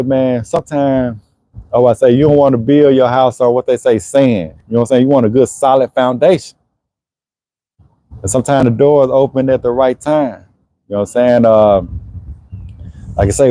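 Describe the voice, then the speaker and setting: A man's voice: medium pitch, warm tone, no echo. A man in his forties running an HVAC business, chatting casually on a livestream and answering viewers in a laid-back way. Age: 40s. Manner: laid-back, conversational, frequent "you know what I'm saying". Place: Houston area.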